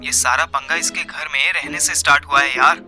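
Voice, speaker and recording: A man's voice: a little high, fairly even intonation, fairly quick, sharp tone, soft background music. A man narrating an audio story in the first person, colloquial and dramatic, mixing in slang. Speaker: narrator and host.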